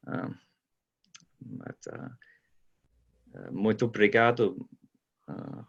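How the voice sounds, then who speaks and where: A man whose first language is English speaks slowly, with long pauses and a halting, apologetic manner. His voice sits low. The call audio is slightly muffled. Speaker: guest participant. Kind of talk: casual online meeting. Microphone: video call.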